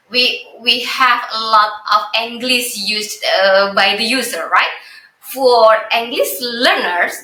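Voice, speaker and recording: Bright woman podcast host, laughs a lot, echoey room. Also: a little high. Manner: laughs often, searches for words. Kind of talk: casual chat.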